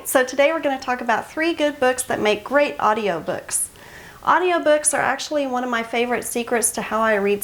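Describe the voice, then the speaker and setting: A woman hosting a book review channel, talking in a chatty, enthusiastic and emphatic way straight to her viewers. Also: fairly high pitch. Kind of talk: casual scripted book recommendation to camera.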